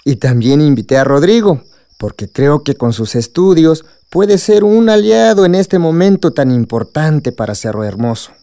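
Mellow, courteous Mexican village leader. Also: male voice, fairly high pitch, very animated, moderate pace, smooth, no echo. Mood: welcoming. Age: middle-aged. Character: hospitable, earnest village leader, middle-aged father. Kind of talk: radio drama acting.

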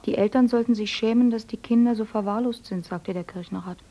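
Actress as young farm girl, breathy and dark, hushed, dull lo-fi sound. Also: on the high side, a little fast, natural voice. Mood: hushed report. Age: young adult. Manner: hushed retelling, soft murmured musing. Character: clever young woman, the miller's adopted daughter.

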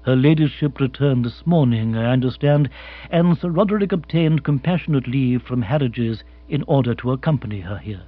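A man voicing an imperturbable butler in a comic audiobook, formal, measured and deferential. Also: mid-range voice, expressive, lightly acted. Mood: unruffled, deferential. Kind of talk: comic audiobook character acting. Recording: muffled recording with hum.

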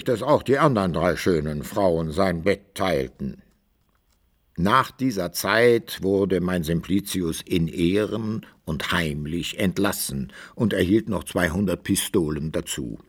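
A man narrating a literary radio drama in an expressive, measured storytelling manner. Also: low-pitched.

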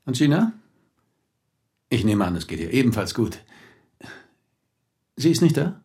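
A man acting in a German radio play as a courteous police inspector who questions in a measured, probing way. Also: medium pitch, sing-song, dark tone.

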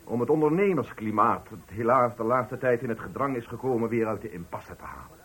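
A man's voice: mid-range voice, lively intonation, fairly quick, a bit bright, slightly rough. A man playing a pompous, patronizing company director, speaking with unctuous ceremony in a Dutch radio play. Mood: pompous, ceremonious. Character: pompous, patronizing male company director giving farewell speech. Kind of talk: Dutch radio play, formal speech acting.

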